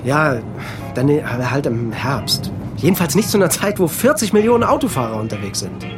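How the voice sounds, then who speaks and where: An actor playing an irritable, defensive husband at the wheel in a naturalistic radio drama, muttering and needling. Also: male voice, a little high, sing-song, normal speed, music in the background. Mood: irritated, defensive.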